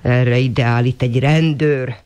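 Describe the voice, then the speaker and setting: An actor playing a polite, serious young boy, a devoted son, in an expressive radio drama style. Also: medium pitch, smooth, natural voice. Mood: sad, confiding. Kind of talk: 1980 radio drama acting.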